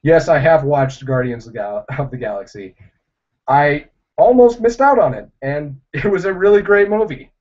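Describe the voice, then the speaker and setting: A young man chatting casually on a livestream, answering viewers in a rambling, halting way with many fillers. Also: a little high, lively intonation, darkish tone. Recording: dull lo-fi livestream audio.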